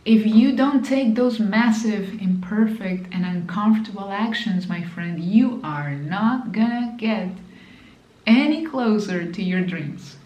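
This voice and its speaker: A woman's voice: medium pitch, takes it slowly, a little mellow, echoey room, rough recording. A woman with a Spanish accent, Spanish her first language, giving an emphatic motivational talk to camera as a business mentor, repeating key phrases and addressing the viewer directly.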